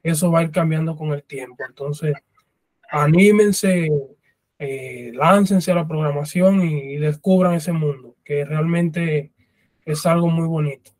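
Higher-pitched man giving encouraging advice. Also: measured pace, a little mellow, dry recording. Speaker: panelist. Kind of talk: encouraging advice on an online panel. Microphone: video call.

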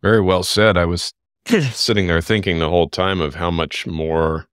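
A low-voiced man hosts a podcast over a video call, heaping praise on his guest in an upbeat way. The sound is close and dry. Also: steady tone, normal speed, a bit bright, clear, resonant tone, dry recording. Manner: effusive, praising, upbeat wrap-up. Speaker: podcast host.

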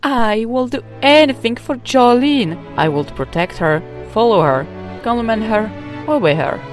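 Woman as an animated, adoring anime friend. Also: fairly high pitch, unhurried. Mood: devoted. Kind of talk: amateur comic dub. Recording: music behind the voice.

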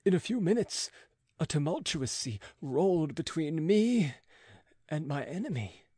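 A man reading a gothic novel aloud as an audiobook, theatrical and emotive, with drawn-out words and dramatic pauses. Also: fairly high pitch, big pitch swings, mellow, husky, no room sound, studio quality. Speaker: audiobook reader.

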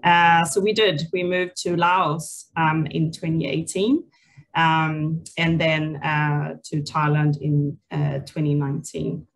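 A woman's voice: low voice, level tone, takes it slowly. A woman in her forties from Sweden, a native Swedish speaker, telling her story conversationally and hesitantly as an interview guest. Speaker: interview guest, people and culture leader. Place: indoors, on a video call.

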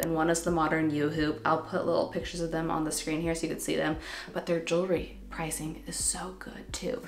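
A woman's voice: fairly low pitch, echoey room. A woman in her twenties chatting casually to camera in a vlog, bubbly and laughing between phrases, with a stuffy nose. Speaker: vlogger. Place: home living room and bedroom.

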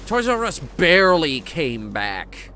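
A man's voice: on the high side, sing-song, takes it slowly, bright, forceful tone, slight background noise. A man doing casual live game commentary as a streamer, chatting to viewers in a wry, matter-of-fact way.

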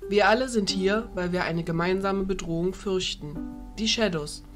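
A woman playing a dignified, diplomatic fairy ruler, speaking in a formal, measured way. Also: medium pitch, music in the background, natural voice.

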